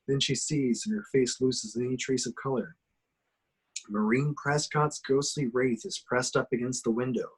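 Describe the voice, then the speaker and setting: Man, medium pitch, reads stage action for a horror script table read, dramatic but amateur delivery. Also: steady tone, moderate pace, breathy edge, poor audio. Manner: dramatic descriptive reading with occasional stumbles. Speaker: action description reader in a script table reading.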